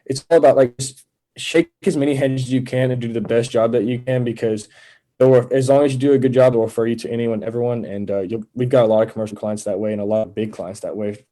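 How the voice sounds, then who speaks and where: A man's voice: mid-range voice, fairly even intonation, quick pace, warm tone. A young man speaking as a podcast guest, chatting in an informal, earnest way. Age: young adult. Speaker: podcast guest, landscaping business owner. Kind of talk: casual chat.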